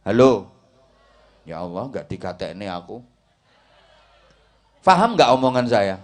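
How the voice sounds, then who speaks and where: A man's voice: fairly low pitch, sing-song, slow pace. A man with a Javanese accent giving a humorous Islamic sermon in a folksy, rhetorical way, often checking that his listeners follow. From Java, Indonesia. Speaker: Islamic preacher giving a talk to a congregation.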